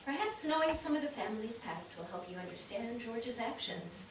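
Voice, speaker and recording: A woman's voice: a little high, expressive, normal speed. A woman playing a proud, gracious elderly widow and matriarch in a staged play reading, speaking formally. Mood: reminiscing. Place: theatre stage, recorded from afar. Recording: distant noisy microphone in echoey theatre.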